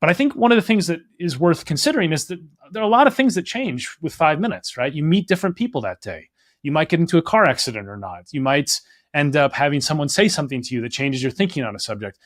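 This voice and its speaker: A young man from Minnesota talks through his ideas at a brisk clip, dropping "like" and "right?" as he goes. The sound is close and dry, with no room around it. He comes across as an author being interviewed. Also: fairly high pitch, no room sound. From Minnesota, United States. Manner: fast, animated, full of "like" and "right?". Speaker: author and guest. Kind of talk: conversational interview answers.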